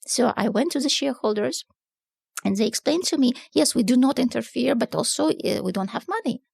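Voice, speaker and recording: A middle-aged woman from southern Russia with a Russian accent, a newspaper publisher telling her story in a relaxed interview, with many fillers and non-native grammar. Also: fairly high pitch, a little fast, gravelly, slightly rough, dry recording, studio quality. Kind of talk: casual conversational storytelling.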